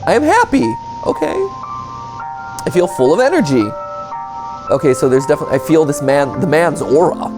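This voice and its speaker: A man's voice: fairly high pitch, sing-song, fairly quick, long pauses, low-quality recording. A man doing casual reaction commentary, reading on-screen text aloud and adding sarcastic, joking asides and exclamations. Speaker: reaction video host. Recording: music behind the voice.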